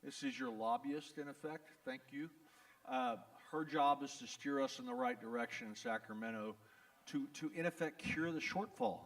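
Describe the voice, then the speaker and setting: Texan lawyer at a town hall, plain-spoken and wisecracking, fairly high voice, mic picks him up from afar. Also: male voice, big pitch swings, moderate pace, creaky, far from the mic. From Texas. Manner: folksy, blunt, joking, repeats "the bottom line is". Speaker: attorney. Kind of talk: town hall address to residents. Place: event venue in front of an audience.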